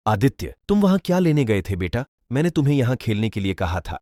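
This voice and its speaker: A man's voice: medium pitch, sing-song, fairly quick, mellow, a little hiss or hum, close to an everyday voice. A man voicing a protective middle-aged farmer father in a cartoon, firm and fatherly when he scolds. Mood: gentle. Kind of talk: Hindi cartoon dubbing.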